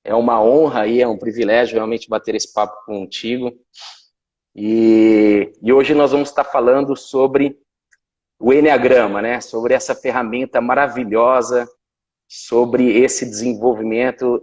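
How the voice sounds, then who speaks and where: A man hosting a live stream, enthusiastic and a bit halting, with many fillers. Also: medium pitch, fairly even intonation, a little mellow, lo-fi sound. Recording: long reverb.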